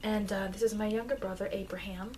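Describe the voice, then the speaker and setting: A woman playing a chatty, informal immortal vampire teacher in an ASMR roleplay, rambling hesitantly and confidingly, with soft dips into whispering. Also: medium pitch, fairly even intonation, brisk, close to an everyday voice. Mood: wistful, confiding. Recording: noisy amateur microphone.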